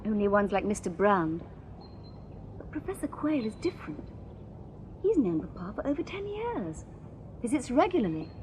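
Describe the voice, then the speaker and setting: A woman's voice: medium pitch, big pitch swings, moderate pace, long pauses, a little mellow, a little hiss or hum, lightly acted. An actress playing a prim, coy young Victorian lady in a radio drama. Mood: polite.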